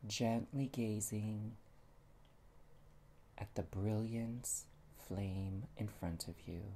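A meditation guide speaking gently and soothingly, with long pauses and soft, breathy phrase endings. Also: mid-range voice, a little hiss or hum.

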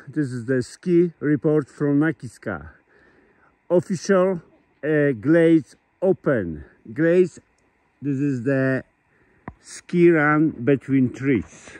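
A man with as a giving slow, enthusiastic commentary into a phone while filming outdoors at a ski hill and a mountain river. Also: mid-range voice, a little mellow. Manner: slow, enthusiastic, simple phrasing with pauses. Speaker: vlogger.

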